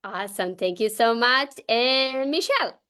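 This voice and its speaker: A woman's voice: fairly high pitch, animated, sharp tone, clean tone. Bubbly Romanian-accented woman hosting. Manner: bubbly, laughs often, quick restarts. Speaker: host. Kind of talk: casual online group welcome.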